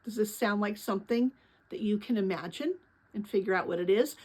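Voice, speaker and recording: A woman narrating a children's novel as a dramatic read-aloud, with an expressive delivery aimed at young listeners. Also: medium pitch, breathy edge. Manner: expressive, pauses to address young listeners with questions.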